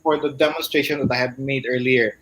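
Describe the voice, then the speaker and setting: A man's voice: mid-range voice, steady tone, a little fast, fairly bright tone, rough recording. A middle-aged man from the Philippines with a Filipino accent, hosting a casual live webinar over a video call. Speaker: host. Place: indoor room, video call.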